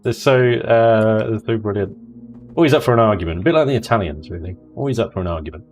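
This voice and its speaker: Chatty man, low voice. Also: fast talker, darkish tone, faint music behind. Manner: chatty, jokey, drifts into mock voices and laughter. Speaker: livestream host. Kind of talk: casual chat while gaming.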